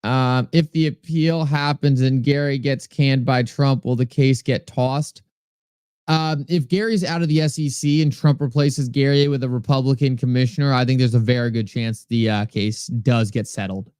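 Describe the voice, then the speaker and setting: A man's voice: medium pitch, steady tone, normal speed, a little mellow, clear, resonant tone, no room sound, studio quality. Chatty New England man hosting a livestream. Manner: chatty, reads viewer comments aloud and thanks them by name. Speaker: livestream host. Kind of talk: casual livestream talk answering chat.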